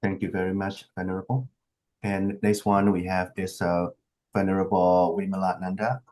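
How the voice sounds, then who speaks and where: A man's voice: deep voice, little pitch movement, normal speed, darkish tone. A man moderating an online panel, calling on speakers briefly and plainly. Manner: brief and procedural. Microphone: video call.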